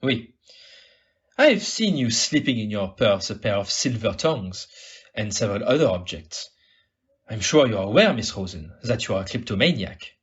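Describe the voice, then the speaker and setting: A man's voice: on the low side, very animated, moderate pace. A man playing a shrewd, formal detective in an audio play, questioning politely and precisely, on a muffled recording. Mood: grave, pointed. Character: shrewd, courteous male detective, probing and precise.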